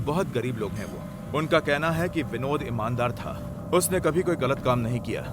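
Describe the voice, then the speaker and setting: An actor playing a shrewd, composed police inspector in a motion comic mystery, questioning in a pointed, insistent way. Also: male voice, mid-range voice, animated, normal speed, background noise, natural voice. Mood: probing. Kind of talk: Hindi motion comic acting. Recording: music behind the voice. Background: music.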